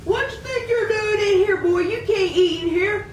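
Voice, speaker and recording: A man voicing a hostile, bigoted restaurant owner in a puppet show, scolding in a shrill voice. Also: normal speed. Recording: roomy, distant, noisy amateur recording.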